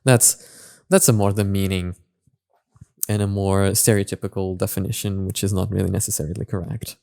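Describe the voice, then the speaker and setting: A man giving casual reaction and analysis commentary as a video host, halting as he searches for his words. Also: sits low, little pitch movement, creaky, warm tone.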